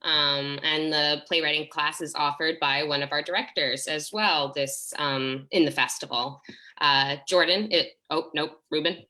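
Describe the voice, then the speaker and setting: A woman's voice: sits low, fairly even intonation, a little slow, bright, forceful tone. A woman on the staff of a theater program, talking casually and encouragingly over a video call.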